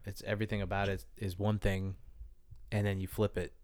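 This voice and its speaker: A man's voice: deep voice, little pitch movement, brisk, a little mellow, no room sound, studio quality. A man co-hosting a podcast, chatting casually with friends in blunt, crude banter. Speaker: podcast co-host. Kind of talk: casual chat.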